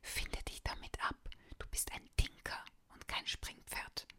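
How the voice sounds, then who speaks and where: A woman whispering the part of a small, teasing male mouse with a squeaky voice. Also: measured pace, pushed and bright.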